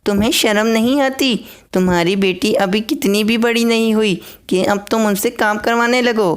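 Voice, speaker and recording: A nosy, scolding bulbul from a cartoon fable, played by a woman, speaks in a raised, clear voice. She is blunt and given to exclamations. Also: on the high side, steady tone, moderate pace, pitched above the performer's own voice, close to an everyday voice.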